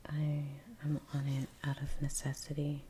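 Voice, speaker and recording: A woman softly whispering in a breathy voice, chatting in an unhurried, murmuring ASMR style as she works. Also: low-pitched, monotone, slight background noise. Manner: unhurried, trailing off, murmured musing. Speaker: artist, ASMR video creator. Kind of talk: soft-spoken ASMR painting chat. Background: soft brush and painting sounds.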